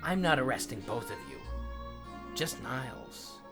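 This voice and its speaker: A man's voice: mid-range voice, expressive, measured pace, music in the background. A man voicing a deceptively humble, shrewd middle-aged detective in a comic fandub, with a folksy, rambling, bumbling manner. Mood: probing.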